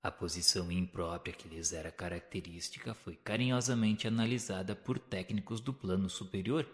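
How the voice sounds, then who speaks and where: A Brazilian man narrates a spiritual audio novel in a solemn, formal, measured storytelling manner. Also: deep voice. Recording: long hall-like reverb.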